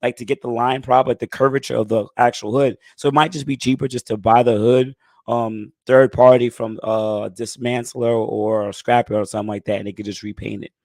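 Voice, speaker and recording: A man in his thirties hosting a casual live stream Q&A, talking in a laid-back, conversational way, his voice a bit hoarse as he gets it back. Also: medium pitch, level tone, brisk, a little mellow, no echo. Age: 30s. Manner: laid-back, conversational, frequent "you know what I'm saying". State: hoarse, recovering lost voice. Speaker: channel host answering live chat.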